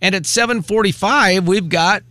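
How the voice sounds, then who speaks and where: A man's voice: sits high, normal speed, bright, forceful tone, dry recording, studio quality. A man co-hosting a morning radio show, announcing promos and chatting easily. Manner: easygoing, agreeable, laughs along. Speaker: radio co-host. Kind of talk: casual morning radio banter.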